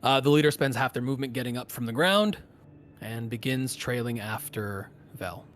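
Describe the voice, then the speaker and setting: A man running a tabletop game as game master, narrating the action casually and thinking aloud in halting phrases. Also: mid-range voice, expressive, fairly bright tone.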